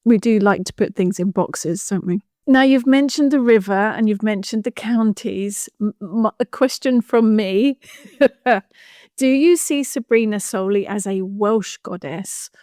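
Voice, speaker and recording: A woman hosting a podcast, chatty and quick to laugh as she asks questions. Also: mid-range voice, no echo, studio quality. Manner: warm, chatty, laughs easily. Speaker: podcast host. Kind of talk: casual interview talk.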